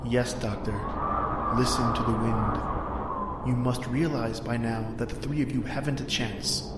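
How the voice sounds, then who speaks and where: A man's voice: medium pitch, steady tone, measured pace, heavy background noise, lightly acted. A man voicing a merciless shadow spirit in a radio horror play, speaking in an ominous, taunting manner. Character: menacing Shadow People spirit, gloating and merciless. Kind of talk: old-time radio horror acting. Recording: echoey, distant, music underneath. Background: music.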